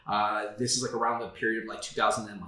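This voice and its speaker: A man's voice: fairly low pitch, flat delivery, fast, pushed and bright. A man in his thirties from South Florida, a guest telling his career story in a casual interview, candid and full of "like" and "uh". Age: 30s. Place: office. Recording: echoey room.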